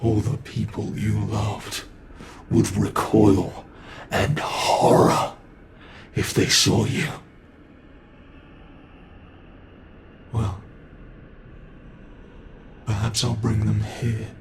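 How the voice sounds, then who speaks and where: A British man playing a sadistic predatory monster in a dark horror ASMR roleplay, taunting and mocking his prey with drawn-out menace. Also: fairly low pitch, level tone, gravelly, slight background noise. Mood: cruel mock pity.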